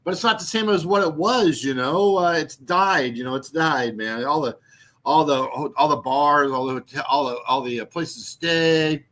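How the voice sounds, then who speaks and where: Rambling sixty-year-old man, bright voice. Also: on the high side, normal speed. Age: 60s. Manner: meandering with many fillers and restarts; mocking, laughs at own jokes. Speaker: YouTube channel host. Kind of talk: rambling casual vlog talk.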